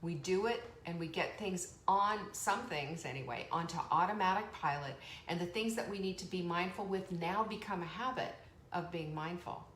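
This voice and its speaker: A woman hosting a live online motivational show, chatty and energetic, laughing often and reading out viewer comments. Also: fairly low pitch, moderate pace. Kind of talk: motivational live-stream talk. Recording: echoey room with faint background noise.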